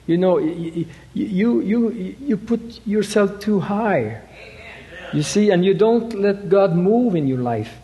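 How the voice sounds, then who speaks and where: A man preaching in a fairly high voice, slow and halting, leaning on fillers and rhetorical asides. His words trail off into the long reverb of a large church hall. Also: animated, warm tone, dull audio. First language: French. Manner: halting, many fillers, rhetorical "you see". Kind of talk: sermon.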